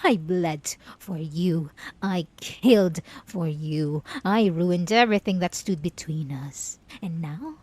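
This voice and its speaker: A woman's voice: fairly low pitch, slow, dry recording. A young woman performing an obsessive, possessive female killer locked in a cell, taunting in whispers and bursting into outbursts. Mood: scornful. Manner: taunting, slipping from soft whispers to sudden outbursts. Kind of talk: roleplay audio drama to the listener.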